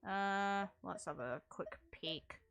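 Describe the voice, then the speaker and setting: A woman's voice: mid-range voice, slow pace, vocal fry, highs cut off, over music. A young adult woman giving casual, rambling game commentary in an informal, amused way, as a streamer.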